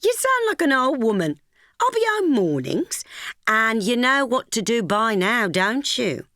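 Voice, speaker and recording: A woman voicing an excited, bossy mother. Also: fairly high pitch, unhurried, no room sound, studio quality, voice pushed up. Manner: bright, bossy. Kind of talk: audiobook dialogue.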